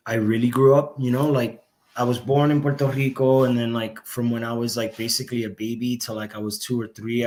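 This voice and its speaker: A young man chatting as a podcast guest, easygoing and rambling. His speech is full of fillers and restarts, and his voice sits at an ordinary middle pitch. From Miami, Florida. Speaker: band member, guest. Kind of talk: casual interview talk.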